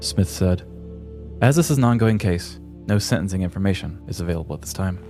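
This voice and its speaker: Male narrator for comic true-crime storytelling: low-pitched, scripted, dramatic, with faint music underneath. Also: animated, a little fast, mellow. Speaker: podcast host.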